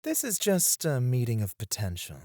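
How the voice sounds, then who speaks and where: A man playing a suave, flirtatious male escort in an ASMR roleplay, teasing and courteous, with drawn-out phrasing. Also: mid-range voice, huge pitch swings, a little mellow, no room sound, clean studio sound. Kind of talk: ASMR roleplay, addressed to one listener.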